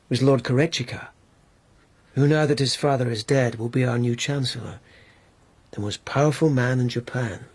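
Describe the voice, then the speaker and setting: A probing, husky lieutenant. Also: male voice, medium pitch. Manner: measured, probing, quietly provocative. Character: shrewd young lieutenant, probing investigator. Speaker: investigator in a radio play. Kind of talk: British radio drama acting.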